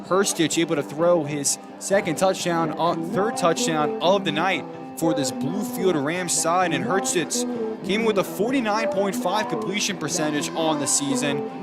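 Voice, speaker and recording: A young American man with a fairly high voice works as a football play-by-play announcer. He delivers live commentary on the game, with clear music heard behind him. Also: moderate pace.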